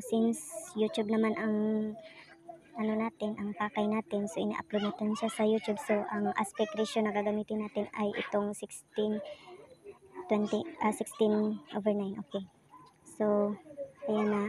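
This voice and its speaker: A woman with a Filipino accent giving a casual step-by-step tutorial in a halting, self-correcting way, like someone demonstrating as she goes. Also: mid-range voice. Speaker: tutorial presenter. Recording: noisy home recording with animal sounds.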